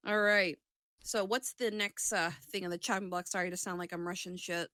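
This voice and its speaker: A woman's voice: lowish voice, fairly even intonation, a little fast, sharp tone, dry recording, studio quality. A woman co-hosting a podcast, chatting casually in slangy, sweary banter. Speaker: podcast co-host and aspiring voice actor.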